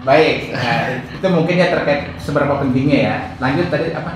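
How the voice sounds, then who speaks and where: A man's voice: medium pitch, brisk, distant mic, a little hiss or hum. Echoey man, political talk show guest. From rural Sukabumi, West Java, Indonesia. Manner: repeatedly punctuates points with "ya". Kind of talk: conversational talk show answers. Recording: very echoey room, off-mic, faint music. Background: music.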